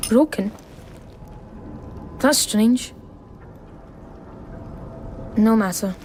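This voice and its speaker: An actress playing an eerie, expectant daughter in a dark fantasy game, with a delicate, questioning delivery. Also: female voice, a little high, extremely slow, a little hiss or hum. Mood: wistful, puzzled. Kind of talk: dramatic fantasy game acting.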